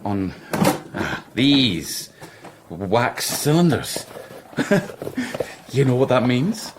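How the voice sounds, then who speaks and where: An actor playing a driven, awkward Victorian doctor with a halting stammer in a radio drama. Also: male voice, medium pitch, very animated, noisy background. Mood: eager, excited.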